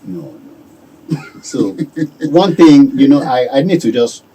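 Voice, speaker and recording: A Nigerian man giving calm, measured answers as a guest in a radio studio. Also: mid-range voice, breathy edge, far from the mic, low-quality recording. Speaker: business owner guest.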